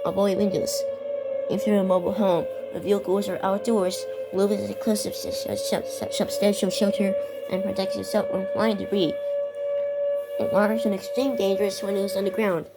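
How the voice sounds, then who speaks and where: Young female amateur narrator, stumbling improvised bulletin delivery, mid-pitched, heavy music bed. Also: flat delivery, low-quality recording. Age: teenager or young adult. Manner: halting, stumbling over and repeating words. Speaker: amateur video creator narrating a fictional emergency alert scenario. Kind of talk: improvised narration mixed with read-out weather warnings. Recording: loud music behind the voice. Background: loud music.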